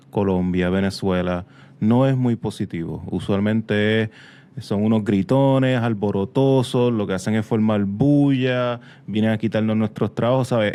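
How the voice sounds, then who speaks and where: Guy podcast host, mellow voice, chatty, drops english words in. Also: fairly low pitch. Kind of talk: casual conversational interview.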